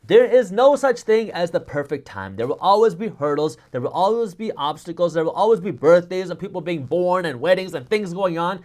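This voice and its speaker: High-voiced man giving emphatic money advice. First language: Punjabi. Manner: emphatic, repetitive motivational delivery. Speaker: finance YouTuber. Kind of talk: direct-to-camera advice.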